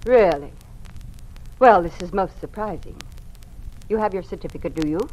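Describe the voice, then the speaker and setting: A woman acts an officious university secretary in a vintage radio play. Her voice is on the low side. She speaks in a clipped, rule-bound, bureaucratic way. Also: moderate pace, a little mellow, close to an everyday voice, rough recording. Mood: brisk, suspicious. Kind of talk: old-time radio drama acting.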